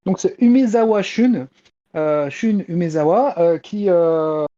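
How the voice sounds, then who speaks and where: Mellow man, muffled podcast sound. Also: high voice, takes it slowly. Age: 40s. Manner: hesitant, searching for words. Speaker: podcast panelist giving manga news. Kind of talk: casual news chat. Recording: slightly dull, lo-fi voice track.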